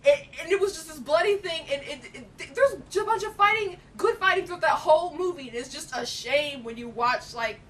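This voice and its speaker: A woman's voice: fairly quick, hardly any pauses, a bit bright. A woman co-hosting a film chat, talking casually in emphatic, slangy, opinionated fan style. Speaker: co-host reviewing films. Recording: distant mic, faint noise and rumble.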